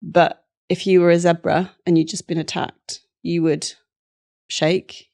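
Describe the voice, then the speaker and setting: A woman's voice: on the low side, gravelly, mellow. A woman, a somatic therapist, explaining earnestly as a podcast guest, restarting her sentences often. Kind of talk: reflective podcast interview.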